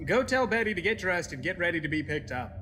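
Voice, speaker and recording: A woman playing a brisk, bossy officer taking command in an audio drama. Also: fairly low pitch, very animated, a bit bright. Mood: urgent, bossy. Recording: clearly noisy background.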